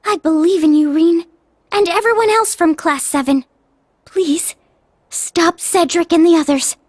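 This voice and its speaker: Game role: earnest young girl, extremely high voice, slow careful delivery. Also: no room sound, clean studio sound. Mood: earnest, pleading. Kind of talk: video game cutscene acting.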